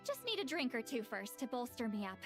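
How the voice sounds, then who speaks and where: An actress playing a blunt, grumbling female tracker in a game cutscene, dry and unhurried. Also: on the high side, big pitch swings. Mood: brusque. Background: game music.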